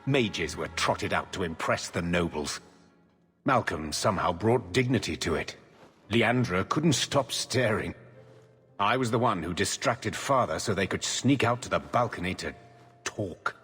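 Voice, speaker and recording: A coarse, sentimental older family man in a fantasy game. His lowish voice rings with long reverb, and faint music sits behind his plain-spoken lines. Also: animated, unhurried, fairly bright tone, slightly rough, full character voice. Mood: wry reminiscing. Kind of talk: video game drama acting.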